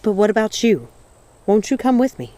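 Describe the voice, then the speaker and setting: A woman's voice: medium pitch, very animated, normal speed, mellow, lightly acted. A woman voicing a desperate, grieving young witch in a storybook, pleading with emotion.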